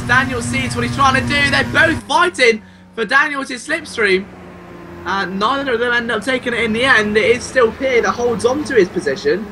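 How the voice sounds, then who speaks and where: High-voiced man calling a motor race. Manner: excitable, exclamatory. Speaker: sim racing race commentator. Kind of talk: live play-by-play motorsport commentary. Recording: clear car engine noise behind. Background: racing car engines.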